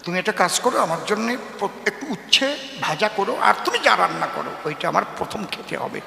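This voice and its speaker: Male storyteller as an amorous, solicitous widowed in-law, gravelly edge, reverberant live comedy sound. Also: sits high, very animated, brisk, sharp tone, full character voice. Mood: mild coaxing. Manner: coaxing, earnestly solicitous. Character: flirtatious widowed father-in-law, over-attentive and coaxing. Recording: echoey reverberant stage sound with faint noise.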